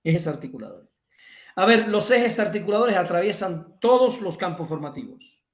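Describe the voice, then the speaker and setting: A man's voice: high-pitched, very animated, moderate pace, roomy sound. A man from Cuba with a Cuban accent, giving an emphatic online lecture over a video call, restating his points and correcting himself often. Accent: Cuban Spanish.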